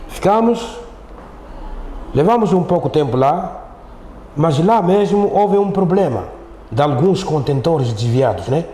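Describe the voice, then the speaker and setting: A man's voice: fairly high pitch, lively intonation, a little slow, long pauses, mellow. A middle-aged man with a Mozambican accent, recounting things conversationally as an interview guest, repeating himself and often ending on tag questions. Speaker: interview guest, Islamic teacher. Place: echoey studio. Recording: roomy echo, faint background noise.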